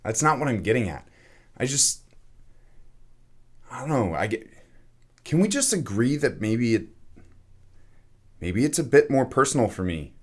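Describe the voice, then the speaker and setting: Disappointed male music fan, actor: earnest, insistent, stammering, mid-pitched, animated, conversational audio drama. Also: measured pace, long pauses. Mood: frustrated, earnest. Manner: insistent, stammering, pleading to be heard. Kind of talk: casual argument in an audio drama.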